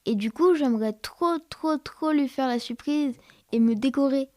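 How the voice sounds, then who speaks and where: A woman's voice: high-pitched, normal speed, darkish tone, dry recording, clean studio sound, natural voice. A woman playing a cheerful, dreamy young schoolchild in an audio drama, with an eager, singsong childlike manner. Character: cheerful, chatty young schoolchild named Avi, dreamy and affectionate. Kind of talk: French audio fiction drama.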